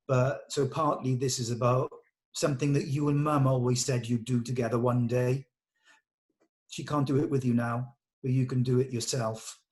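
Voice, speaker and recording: A British man with a medium-pitched voice talks like a director to his cast. His delivery is informal, earnest and emphatic, with blunt, colloquial phrasing. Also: a little mellow. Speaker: director leading a table read. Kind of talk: informal character briefing to actors.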